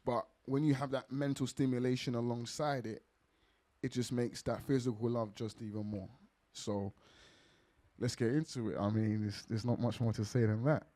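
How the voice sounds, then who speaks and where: A man with a deep voice, a rapper chatting casually in an interview, relaxed and full of fillers. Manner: relaxed, rambling, lots of fillers. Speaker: rapper guest.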